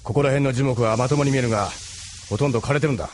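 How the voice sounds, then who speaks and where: Quick-talking, steady rebel ship captain played by a man. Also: medium pitch, very noisy, natural voice. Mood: earnest, confiding. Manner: commanding yet encouraging. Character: steady, fatherly male ship captain of resistance fighters. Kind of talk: anime audio drama acting.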